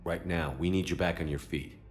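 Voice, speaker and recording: A man playing a steady, supportive military commander in a video game, direct and clipped in his phrasing. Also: low voice, brisk, soft background music, close to an everyday voice. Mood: reassuring.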